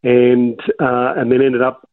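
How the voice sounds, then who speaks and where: A man's voice: mid-range voice, completely flat delivery, unhurried, no echo. Australian man in his sixties on a tinny line. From Melbourne, Australia. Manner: rambling, many restarts and fillers. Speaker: interview guest. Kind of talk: casual interview storytelling. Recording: dry, tinny phone-like line.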